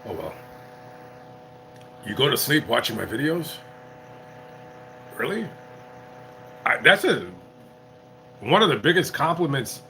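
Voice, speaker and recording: A tipsy man live-streaming as a YouTuber, chatting casually in a rambling, halting way full of restarts. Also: mid-range voice, big pitch swings, creaky, sharp tone, a little hiss or hum. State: tipsy from drinking. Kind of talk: casual livestream chat.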